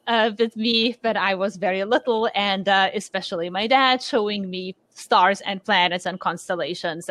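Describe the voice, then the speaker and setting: A woman's voice: a little high, a bit bright, no echo. A woman in her forties from Lithuania, a research scientist answering interview questions in a thoughtful, hesitant way with many fillers.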